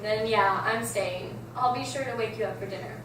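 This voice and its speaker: A woman's voice: medium pitch, normal speed, fairly bright tone, natural voice. A woman reading the part of an easygoing big sister and new mother in an amateur script table read. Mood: teasing. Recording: very echoey room, distant mic, clear background noise.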